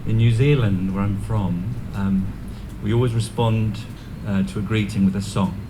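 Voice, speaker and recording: A man with a Welsh accent speaks in a fairly low voice, delivering an earnest ceremonial address. He hesitates often, with ums and restarts. Clear background noise from the gathering sits behind him. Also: fairly even intonation, moderate pace. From North Wales. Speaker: guest speaker. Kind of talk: ceremonial speech. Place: community event venue.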